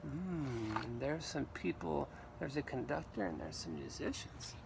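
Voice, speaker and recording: A man reading a storybook aloud to children in an animated, theatrical way, with exclamations and asides. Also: medium pitch, measured pace, gravelly, noisy background. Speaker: read-aloud teacher.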